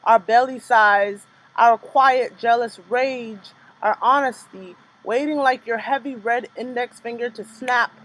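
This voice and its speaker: A young woman performing her own spoken word poems in a rhythmic, measured delivery, with casual chatty asides between pieces. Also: on the high side, fairly bright tone, poor audio. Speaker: poet performing her work. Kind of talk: spoken word poetry reading.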